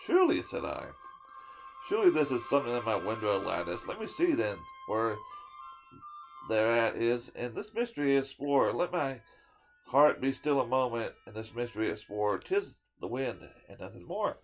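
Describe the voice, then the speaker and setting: A man giving a dramatic reading of a poem, swinging from loud declaiming to hushed whispers and sometimes tripping over a word. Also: mid-range voice, very animated, normal speed, soft background music, low-quality recording. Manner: stumbles and restarts words now and then. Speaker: storyteller reading a poem aloud.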